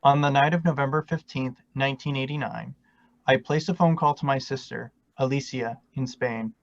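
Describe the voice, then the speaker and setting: A man reads first-person words of a martyr in a steady, earnest tone. His pitch is average. The recording sounds dull and dry. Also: moderate pace, vocal fry, no room sound. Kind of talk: reading a martyr's words aloud.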